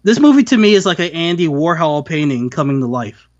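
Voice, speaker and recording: Higher-pitched man in dry, dull audio. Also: big pitch swings, fairly quick, no echo. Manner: acts out imagined quotes while talking. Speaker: podcast co-host reviewing films. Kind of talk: casual opinionated review chat.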